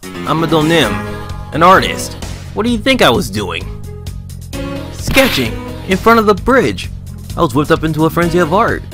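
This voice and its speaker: A man's voice: on the high side, big pitch swings, moderate pace, long pauses, music in the background. A man voicing a goofy, self-important young artist in a video game dub, theatrical and boastful but casual. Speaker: fan dub voice actor.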